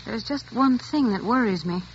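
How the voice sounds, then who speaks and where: Anxious, placating wife. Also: female voice, on the high side, animated, normal speed. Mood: uneasy, placating. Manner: hesitant, conciliatory. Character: anxious, placating American wife, gentle and uneasy. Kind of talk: 1940s radio acting. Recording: muffled, slightly noisy 1940s radio recording.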